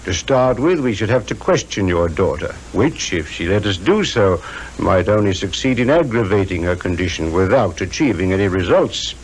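A formal, courteous British police inspector in a vintage radio drama. His voice sits on the low side and he speaks reassuringly. There is faint noise on the old recording. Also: lively intonation, moderate pace. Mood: regretful, firm. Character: courteous, measured Scotland Yard police inspector. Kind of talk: 1950s radio drama acting. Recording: noisy 1950s radio recording.

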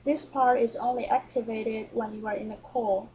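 A woman reading scripted narration for a software tutorial. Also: a little high, steady tone, moderate pace, background noise.

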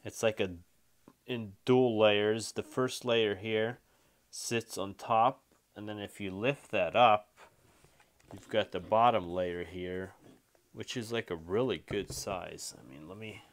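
A man's voice: lowish voice, slow pace. A man giving casual, informal unboxing and review commentary as a toy reviewer, easygoing and a bit repetitive.

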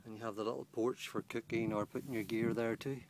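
A man's voice: medium pitch, steady tone, brisk, a little hiss or hum. A man casually walking through the features of a small tent, outdoors on a lawn, filming on a handheld camera. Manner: plain, matter-of-fact, pointing out features. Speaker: outdoor gear reviewer. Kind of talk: casual product walkthrough. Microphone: handheld camera held by the speaker.

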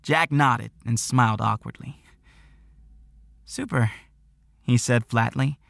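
A man narrating an audiobook story in an expressive, scripted reading style. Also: mid-range voice, unhurried, vocal fry, no echo.

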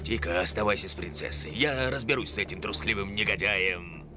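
A man's voice: on the low side, a little fast, over music, natural voice. Man as an earnest, brave cartoon bear. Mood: reassuring. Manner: earnest, reassuring. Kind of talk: dubbed cartoon acting.

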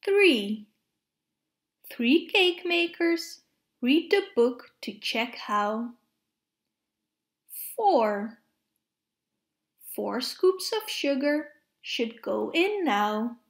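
A woman narrating children's picture books aloud in a deliberate, expressive way suited to young children. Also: high-pitched. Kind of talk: read-aloud storybook narration.

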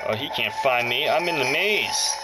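A man's voice: mid-range voice, lively intonation, normal speed, rough recording. A man giving casual, off-the-cuff gameplay commentary as a Let's Play host, muttering short reactions to the action. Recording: loud game music over the voice. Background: loud music and game audio from a handheld console.

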